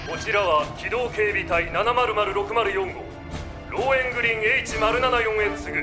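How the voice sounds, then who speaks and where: Man acting a stern, high-pitched patrol officer. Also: normal speed, lightly acted. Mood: stern, commanding. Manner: commanding, formal announcement. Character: stern, formal patrol officer issuing warnings. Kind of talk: Japanese sci-fi audio drama acting. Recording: loud music behind the voice.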